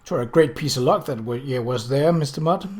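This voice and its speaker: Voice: mid-range voice, fairly quick, a little mellow, close to an everyday voice. A reader voicing a kindly but bossy working-class woman cook with folksy, matter-of-fact speech in a story. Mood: factual.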